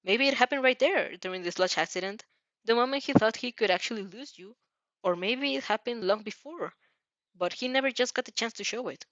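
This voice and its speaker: A woman reading a story aloud as narrator, dramatic and a little halting, stumbling over some words. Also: on the high side, a bit bright, no echo, highs cut off. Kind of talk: expressive fan fiction read-aloud.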